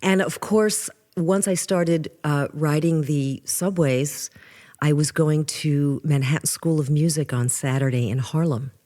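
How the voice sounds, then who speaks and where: An older woman from New with a low voice, telling lively stories as a guest in a casual interview. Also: unhurried. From New York. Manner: animated storyteller, restarts often. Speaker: guest musician.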